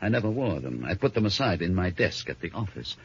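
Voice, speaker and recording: An actor playing a suave, guarded gentleman suspect in a radio mystery, polished and courteous but evasive. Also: low voice, sing-song, fairly quick, slightly rough, exaggerated voice. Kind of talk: old-time radio drama acting. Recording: muffled old radio recording.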